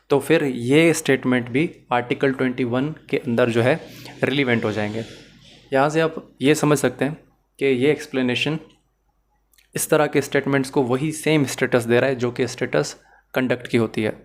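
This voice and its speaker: Pakistani man giving an explanatory law lecture. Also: medium pitch. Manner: mixes English terms and phrases into speech; didactic, addresses the learner directly.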